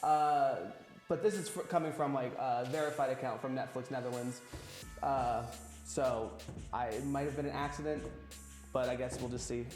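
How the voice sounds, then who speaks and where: A man's voice: medium pitch, steady tone, normal speed, darkish tone, roomy sound, faint music behind. A young man co-hosting gaming news in a loose, jokey manner with fillers. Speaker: news co-host. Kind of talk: casual news banter.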